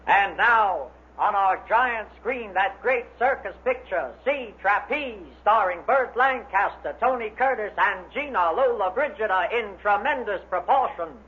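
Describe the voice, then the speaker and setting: High-voiced male announcer, muffled old broadcast. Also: expressive, unhurried, few pauses. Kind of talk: vintage show announcing.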